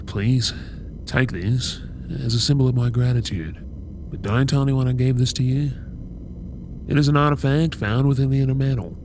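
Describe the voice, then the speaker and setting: A man playing a solemn, remorseful warrior knight captain in a fantasy audio drama, speaking formally and earnestly in measured phrases. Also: medium pitch, background noise, lightly acted. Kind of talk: anime fantasy audio roleplay acting.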